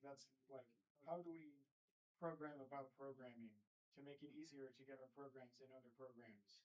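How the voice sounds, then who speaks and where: A man's voice: mid-range voice, fairly even intonation, normal speed, rough recording. A man in the audience asking the speaker a question, hesitant, with many restarts. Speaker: audience member.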